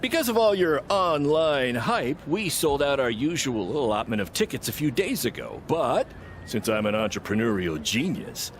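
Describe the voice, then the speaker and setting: A man's voice: mid-range voice, sing-song, normal speed, slight background noise. A man playing a brash, scheming wrestling promoter in a video game cutscene, talking like a self-satisfied, theatrical salesman. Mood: boastful.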